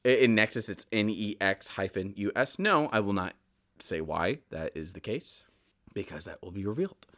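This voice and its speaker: A man's voice: fairly low pitch, lively intonation, normal speed, a bit bright. A man hosting a solo podcast in casual, rambling talk, often correcting himself and drifting into asides. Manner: self-correcting, digressive, conversational. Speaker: podcast host.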